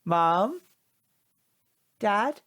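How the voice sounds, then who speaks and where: A man's voice: high-pitched, lively intonation, extremely slow, a bit bright, exaggerated voice. A British man playing a cheeky, aggrieved teenage boy in a comic audio drama, indignant, slangy and wheedling. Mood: hurt, pleading. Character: cheeky, aggrieved, foul-mouthed male teenage tearaway.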